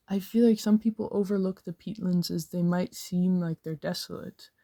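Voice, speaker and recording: A woman with a low, gravelly voice reads interview answers in a steady, measured way. Her tone is dark and warm and stays flat in pitch. The sound is close and dry. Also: dry recording. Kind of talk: read-aloud first-person answers.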